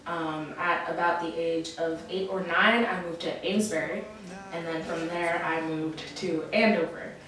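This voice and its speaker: A teenage woman from Massachusetts answering interview questions, hesitant with many pauses and ums. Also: on the low side, steady tone, a bit bright. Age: teens. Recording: echoey room from far off, music behind.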